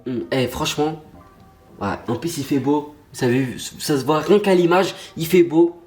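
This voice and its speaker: A man's voice: on the high side, moderate pace, darkish tone, soft background music. A young man, stuffy from a cold, chatting casually and slangily to his viewers in a vlog. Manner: slangy, pumped-up, talks straight to viewers. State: stuffy nose, has a cold. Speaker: YouTuber.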